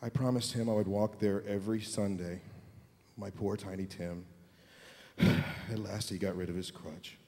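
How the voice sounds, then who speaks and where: A man playing a kindly, soft-hearted poor father in a staged radio drama, halting in his delivery. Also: fairly low pitch, steady tone. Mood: sad. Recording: reverberant theatre hall.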